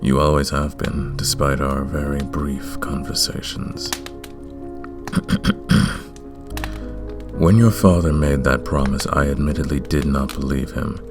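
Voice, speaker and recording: Very deep gravelly man voicing a vampire king, regal, formal, lovestruck, romantic audio roleplay. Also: steady tone, music in the background, heavily acted. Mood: stern, regretful. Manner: formal, courtly, deliberate. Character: courtly, lovestruck, commanding male vampire king with horns.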